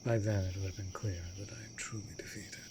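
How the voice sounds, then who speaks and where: A man playing an immortal vampire master, a tender and brooding lonely lover, speaking formally and often breathily in a romantic fantasy audio roleplay. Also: lowish voice. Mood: grave, confessing. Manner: formal archaic wording, slow and deliberate, often dropping to breathy whisper. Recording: steady background noise of night crickets. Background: night insects and crickets.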